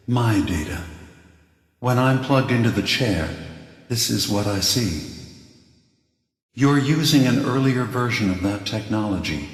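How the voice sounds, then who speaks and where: An actor playing a calm, grave synthetic man, a weary scheming leader, with a husky, measured, deliberate delivery for a video game. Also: on the low side, dry recording, studio quality.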